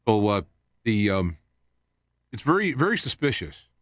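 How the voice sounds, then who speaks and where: Low-voiced man, rambling radio host. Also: big pitch swings, fairly bright tone, no room sound, studio quality. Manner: halting, loosely wandering from point to point. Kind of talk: rambling live talk show monologue.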